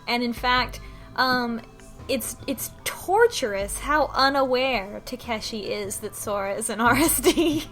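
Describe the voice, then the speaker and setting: A woman chatting casually on a panel, expressive and given to mock drama. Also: on the high side, unhurried, soft background music. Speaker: podcast panelist. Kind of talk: casual group chat.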